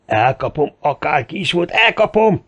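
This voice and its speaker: A hot-headed, grieving gunman from an adventure audiobook , acted out by a male narrator. He rants and threatens in exclamations, his voice pushed higher, on a somewhat muffled recording. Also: sing-song, a bit bright. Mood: furious, threatening.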